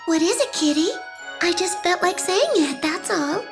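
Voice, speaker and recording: High, childlike little storybook girl. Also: animated, normal speed, over loud music. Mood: curious, playful. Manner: childlike, wistful, musing. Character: curious, bookish little girl talking to her cat. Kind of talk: storybook fantasy game voice acting.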